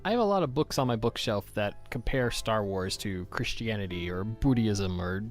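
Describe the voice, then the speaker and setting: A man's voice: on the low side, lively intonation, moderate pace, soft background music. Man hosting a casual podcast. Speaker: podcast host. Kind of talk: casual interview.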